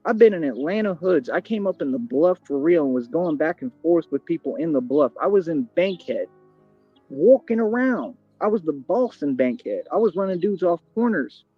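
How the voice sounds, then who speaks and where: Brief: man, bragging and challenging, fairly high voice, lo-fi dry stream clip. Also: sing-song, moderate pace, husky, no echo, soft background music. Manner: boastful, confrontational, repetitive slang. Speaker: livestreamer. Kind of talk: boastful livestream rant. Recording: dull, dry lo-fi stream audio.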